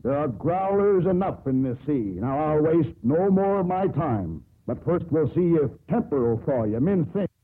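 An actor playing a bold, sardonic sea captain in a theatrical 1950s radio drama style. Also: male voice, a little high, animated, moderate pace, few pauses, a little hiss or hum, natural voice. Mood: heated, commanding. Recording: old 1950s radio broadcast, narrow band.

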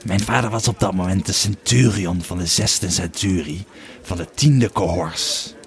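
Man voicing a gruff, deep-voiced old Roman legionary. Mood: solemn reminiscing. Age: older. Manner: gruff, slow storytelling. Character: grumpy old Roman legionary, male, weary and wine-drinking. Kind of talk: audio drama storytelling.

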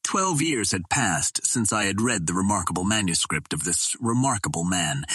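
A man reading an old adventure novel aloud as narrator, in a measured, formal storytelling manner. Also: low-pitched, fairly bright tone, breathy edge, no room sound. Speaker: audiobook narrator.

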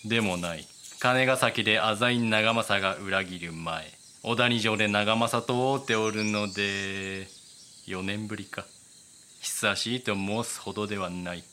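Slow, forceful, imperious samurai warlord. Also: male voice, fairly low pitch, slight background noise. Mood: cold, commanding. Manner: commanding, formal samurai speech. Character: imperious, cold samurai warlord of feudal Japan. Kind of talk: period voice drama acting.

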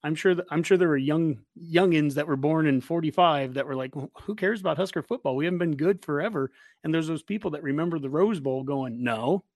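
A man's voice: a little high, fairly quick, darkish tone, no echo. An American man in his forties chatting casually as a sports show host, talkative and rambling. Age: 40s. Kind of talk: casual interview chat.

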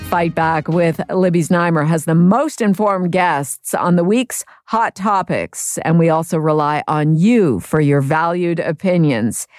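A woman host reads her radio links in a measured, announcing way. Her voice sits a little low, and the sound is dry and close, with faint music underneath. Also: a little mellow, dry recording. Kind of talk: scripted radio presenting.

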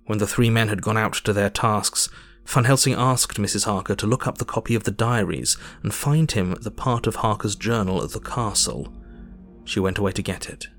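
A man playing a male doctor who narrates his own journal in a measured, sober way. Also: fairly low pitch, natural voice. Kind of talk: audio drama narration.

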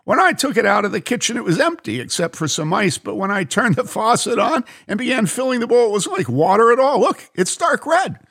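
A man voicing an excited, flustered church helper who insists on what he saw. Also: sits high, unhurried, creaky, husky, pitched above the performer's own voice, heavily acted. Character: excitable middle-aged male helper, flustered.

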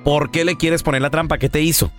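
A man hosting a prank-call show, talking in a chummy, slangy, teasing way. His voice is high for a man, with clear music playing behind him. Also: fairly even intonation, brisk, a bit bright. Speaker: host.

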